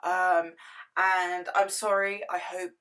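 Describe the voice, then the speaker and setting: A young woman with a hoarse, worn-out voice, chatting casually through a game build and correcting herself as she rambles. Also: fairly low pitch, little pitch movement, takes it slowly, a bit bright. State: hoarse, lost voice. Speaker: gaming YouTuber.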